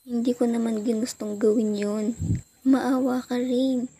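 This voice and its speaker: A woman's voice: on the high side, fairly even intonation, measured pace, slightly rough. A young woman playing a terrified victim, fearful and pleading, in an amateur student radio drama. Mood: desperate pleading. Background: loud dramatic music.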